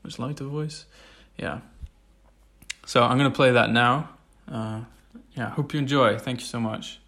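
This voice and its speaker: A man with a deep voice, a podcast host, giving a casual, hesitant introduction full of fillers. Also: a little slow, gravelly, a bit bright. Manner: hesitant, many fillers and restarts.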